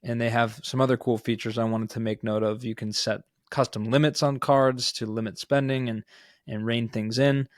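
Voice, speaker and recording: A man's voice: medium pitch, little pitch movement, moderate pace, no room sound, clean studio sound. A man co-hosting a podcast, talking through business news in a casual, informal way. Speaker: podcast co-host. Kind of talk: conversational business news discussion.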